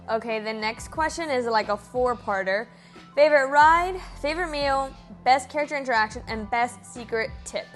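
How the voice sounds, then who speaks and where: A woman's voice: a little high, a bit bright. Chatty twenty-something Miami woman with a Miami accent, upbeat Q&A vlogger, light background music. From Miami, USA. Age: 20s. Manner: bubbly, chatty, gushing. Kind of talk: casual Q&A chat to camera. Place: bedroom with Disney ears on the wall.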